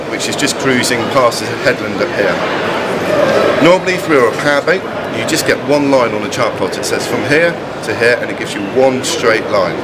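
A young man explaining and demonstrating a product at an exhibition stand, pointing things out as he goes. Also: medium pitch. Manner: explanatory, points at screen while talking. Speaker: product representative. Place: exhibition hall stand, indoors. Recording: noisy exhibition hall. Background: loud hall noise.

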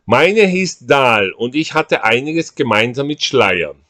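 A man reads his own writing in an unhurried, deliberate way. His delivery is drily humorous and measured, at a middling pitch. He is recorded on a webcam at a desk in a room at home. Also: very animated, a bit bright. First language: Portuguese. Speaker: author reading his own text. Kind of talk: reading aloud, switching between languages.

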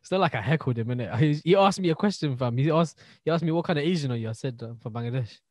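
A man's voice: medium pitch, expressive, very fast, a little mellow, no room sound. A man in his twenties with a London accent, chatting and telling stories in slangy speech as a podcast co-host. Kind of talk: casual chat, storytelling. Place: indoors, remote video call.

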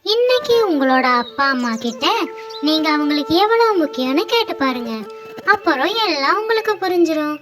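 A woman's voice: sits high, sing-song, brisk. A woman storyteller narrating a scripted moral tale for children and hosting the channel. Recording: music under the voice.

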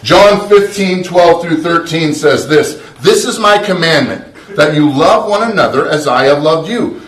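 A man's voice: on the high side, a bit bright. A middle-aged man preaching a sermon as pastor of a small church, earnest and conversational, often restarting words. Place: small church sanctuary, indoors. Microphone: far camera, no visible mic. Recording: roomy echo from far off.

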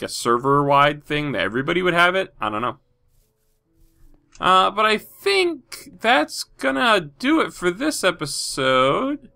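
A man's voice: on the high side, sharp tone. Stuffy-nosed man rambling over gameplay. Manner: rambling, thinks aloud with many fillers. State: stuffy from a cold. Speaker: Minecraft video host. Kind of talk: casual gameplay commentary.

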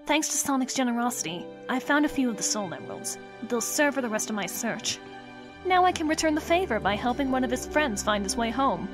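A woman's voice: fairly high pitch, animated, a little fast, music in the background, lightly acted. A woman playing a proud, protective young cat princess with a fiery temper in a comic dub, formal and commanding. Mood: gracious. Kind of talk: scripted comic dub acting.

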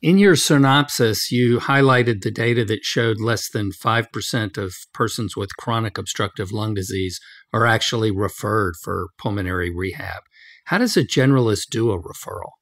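A man's voice: lowish voice, moderate pace, fairly bright tone, dry recording, clean studio sound. A man hosting a medical interview, putting prepared-sounding questions to a guest. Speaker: interviewer, medical journal editor host.

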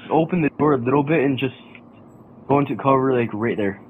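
A young man chatting casually while playing an online game, explaining a glitch to his friends over headset voice chat. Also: medium pitch. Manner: fast, bossy, explaining a glitch. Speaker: gamer. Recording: tinny phone-like voice chat with faint noise.

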